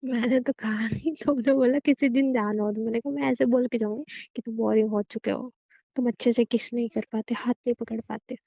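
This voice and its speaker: A woman's voice: on the high side, steady tone, brisk, gravelly. A young woman playing a teasing, stubborn girlfriend in an acted phone conversation, insistent and often repeating herself. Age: young adult. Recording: dull, lo-fi phone call recording.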